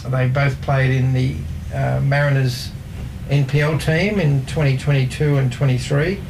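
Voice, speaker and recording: A man talks through football facts in a relaxed sports chat, stopping often for ums as he checks his notes. His voice has a gravelly edge, and steady ambient noise sits behind him. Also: medium pitch, a little slow. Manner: hesitant, many ums, reads off notes. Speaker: co-host presenting football trivia.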